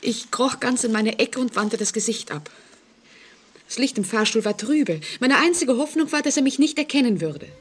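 An actress playing a frightened woman in an old radio play, speaking anxiously and haltingly with dramatic intensity. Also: a little high, husky. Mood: haunted, dread. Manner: anxious, dramatic, halting, sometimes dropping to a whisper. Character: frightened single woman telling police of a stalking convict. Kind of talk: 1940s German radio play acting.

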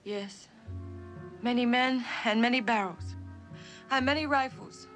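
Fiery cantina girl, broken english, high sharp voice, old tv western drama. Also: a little slow, soft background music. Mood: resigned, confiding. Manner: broken, non-native English phrasing. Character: fiery, bitter cantina girl working for a smuggler boss. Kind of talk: dramatic mid-century screen acting.

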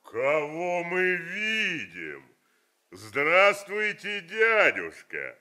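A folksy giant farmer and father from a radio play. He speaks slowly and respectfully in a bright, forceful voice with lively swings of intonation, coaxing and practical. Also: high-pitched, heavily acted. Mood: hearty, proud. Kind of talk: Soviet radio play acting.

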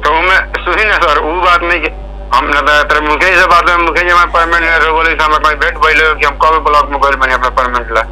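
A man's voice: fairly high pitch, fairly even intonation, fast, sharp tone. A man with a Bihari accent in an informal phone conversation as a village caretaker, speaking deferentially. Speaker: village water scheme caretaker, caller. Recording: noisy, humming recorded phone call.

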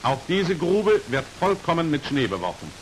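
A man's voice: fairly high pitch, moderate pace, rough recording. A man narrating a 1940s instructional film in a formal, precise, matter-of-fact voice, on an old hissy soundtrack. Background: steady hiss.

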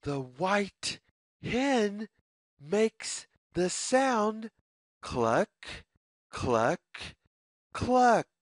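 Read-aloud storyteller for young children: very slow, deliberate phrasing, lively sing-song intonation, mid-pitched voice. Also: no echo, clean studio sound.

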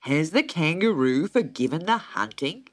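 A woman voicing a pompous male pelican running a trial, speaking slowly and formally. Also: deep voice, very animated. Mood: solemn. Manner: slow, measured, formal.

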